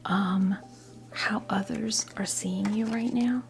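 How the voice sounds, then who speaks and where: A woman in her fifties gives an ASMR tarot reading in a breathy whisper, halting often as she reassures the listener. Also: mid-range voice, steady tone. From New Jersey, United States. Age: 50s. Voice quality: breathy whisper mixed with soft creaky voice. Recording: music playing behind the voice. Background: music.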